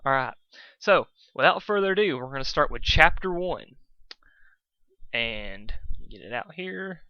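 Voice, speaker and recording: Dull-sounding recording, bright-voiced man. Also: medium pitch, expressive, unhurried. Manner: self-deprecating, stumbling. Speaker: amateur fan fiction reader. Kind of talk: casual rambling introduction and informal story reading.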